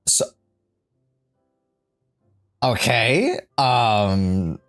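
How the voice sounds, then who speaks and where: A man's voice: mid-range voice, sing-song, drawn-out words, faint music behind. A man livestreaming a casual, slangy reaction to a game, joking and rambling as he goes.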